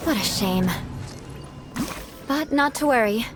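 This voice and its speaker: A woman's voice: fairly high pitch, animated, slow, over music. An actress voicing a haughty, elegant young aristocratic woman warrior in a video game, speaking in a formal, condescending, poised way. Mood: disappointed, patient. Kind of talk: scripted video game character dialogue.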